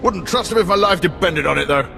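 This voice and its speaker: A man's voice: high-pitched, expressive, fast, gravelly, bright, forceful tone, in a large hall, soft background music, full character voice. A man playing a wry, chatty older male intelligence station chief in a video game, joking as he talks.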